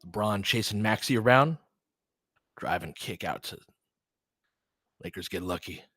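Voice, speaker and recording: A man with a fairly low voice commentates on basketball in a close, dry recording. He talks conversationally and analytically, thinking aloud and slipping between play-by-play and chatty asides to listeners. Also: a little slow, fairly bright tone, slightly rough, no room sound. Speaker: sports streamer doing audio play-by-play and analysis. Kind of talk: live basketball commentary mixed with casual analysis and chat replies.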